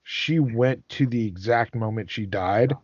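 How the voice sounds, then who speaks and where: A man hosting a casual podcast, chatting like a fan and haltingly repeating words as he works out his questions. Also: on the low side. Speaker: podcast host. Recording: dry but dull, lo-fi microphone.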